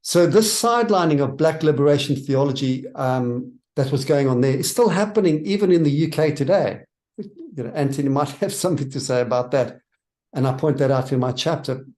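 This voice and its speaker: A man's voice: fairly high pitch, brisk, darkish tone. A South African man, a church worker and author, answering interview questions in a thoughtful, earnest way and stressing his key points.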